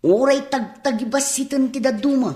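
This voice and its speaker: A woman's voice: high-pitched, normal speed, mellow, reverberant, lightly acted. A woman with an Ilocano Filipino accent playing an earnest young character pleading with a mother in a radio drama. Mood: insistent.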